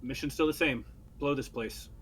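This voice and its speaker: A man's voice: mid-range voice, moderate pace, fairly bright tone, a little hiss or hum. A man playing a tabletop roleplaying game, improvising in-character military dialogue. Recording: live stream with music underneath. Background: music.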